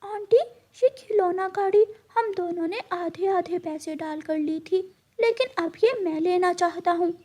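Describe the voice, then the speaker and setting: Cartoon little boy bird played by a woman: squeaky-high, whiny, excitable, quick to squabble. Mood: insistent, pleading. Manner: childlike, whiny, pleading.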